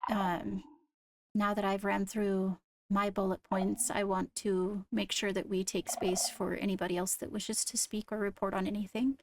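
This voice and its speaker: Creaky-voiced woman playing a poised royal ruler. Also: mid-range voice, level tone, slight background noise, lightly acted. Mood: composed, businesslike. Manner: measured, formal, hesitant between phrases. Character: poised female royal ruler addressing her court. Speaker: leader of the meeting. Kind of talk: improvised Minecraft roleplay.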